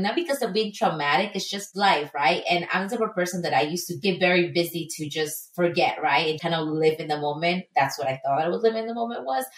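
A woman in her thirties from Ecuador, talking openly and reflectively as a podcast guest. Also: low voice. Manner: open, reflective storytelling. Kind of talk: casual interview chat. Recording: echoey room, far from the mic.

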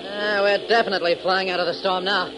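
A man playing a level-headed, commanding young American pilot in a 1940s radio adventure, giving crisp orders. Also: high-pitched, level tone, fast talker, close to an everyday voice. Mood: calm command. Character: steady, commanding young male pilot hero. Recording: noisy 1940s radio recording.

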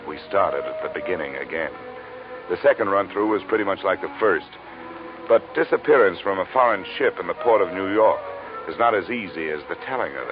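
A man plays a cool private investigator in a vintage radio detective series. His low voice is crisp, wry and businesslike. The sound is narrow like an old broadcast, with some music. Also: lightly acted. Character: cool, hard-boiled male insurance investigator. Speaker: lead actor and narrator. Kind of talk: 1950s radio detective narration and acting.

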